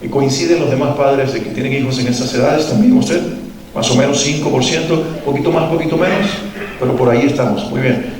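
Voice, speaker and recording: A man's voice: medium pitch, a little fast, a crowd in the background. A man from Cuba with a Cuban Spanish accent, giving an earnest, reflective talk to parents and asking them questions. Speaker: parenting workshop speaker. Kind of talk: talk to a live parent audience. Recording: echoey hall, mic at a distance.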